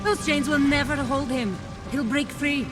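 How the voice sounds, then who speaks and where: A woman's voice: high voice, fairly even intonation, measured pace. A woman playing a proud, assured warrior goddess, a favored daughter, in a video game. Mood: skeptical. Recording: clear music behind the voice.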